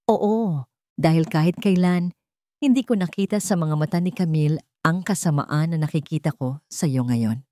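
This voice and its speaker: A woman's voice: sits low, normal speed, warm tone, no echo, clean studio sound, lightly acted. A woman voicing an angry wealthy businessman and protective father, accusing and commanding, in an audio romance drama. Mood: bitter.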